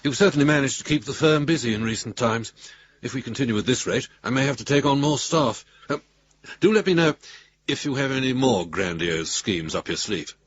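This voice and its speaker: An actor playing a droll, gleeful male solicitor with a husky voice, reading out his letter archly in a radio drama. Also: medium pitch, expressive, fairly quick, lo-fi sound, slight background noise, lightly acted. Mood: droll, amused. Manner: droll, amused, arch.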